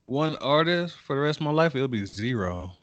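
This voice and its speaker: A man's voice: mid-range voice, expressive, moderate pace, fairly bright tone, dry recording, highs cut off. A man co-hosting a casual podcast chat in a teasing, playful way. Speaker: podcast co-host.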